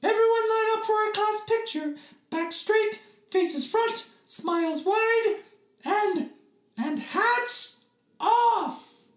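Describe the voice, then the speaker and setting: A man voicing a prim female schoolteacher in a storybook, calling to the class in a drawn-out sing-song. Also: very high voice, reverberant, voice pushed up. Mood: bright, commanding.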